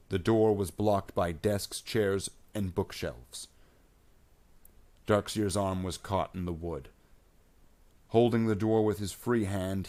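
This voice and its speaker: A man's voice: low-pitched, darkish tone. A man reading scripted science fiction in an audiobook style, narrating plainly without putting on character voices.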